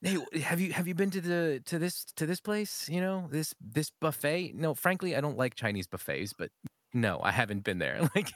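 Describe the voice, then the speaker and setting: A man, a pastor, telling stories in casual conversation with frequent laughs and animated delivery. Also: a little high, normal speed, dry recording, clean studio sound.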